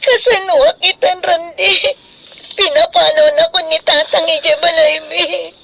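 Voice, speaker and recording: An actress playing a meek, pleading young daughter in a radio drama, with a plaintive delivery. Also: female voice, extremely high pitch, unhurried, creaky, bright, forceful tone, heavily acted. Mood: tearful pleading. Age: young adult.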